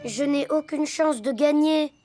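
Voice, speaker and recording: Earnest, high-voiced cartoon boy. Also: a little slow, warm tone. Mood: dejected. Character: young boy animal inventor, gloomy and self-doubting. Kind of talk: children's cartoon dubbing. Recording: music behind the voice.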